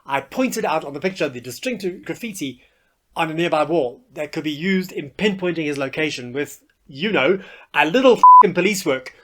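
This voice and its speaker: A man's voice: fairly high pitch, lively intonation, moderate pace, sharp tone. A man telling a personal story as a podcast host, candid and wry. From South Africa.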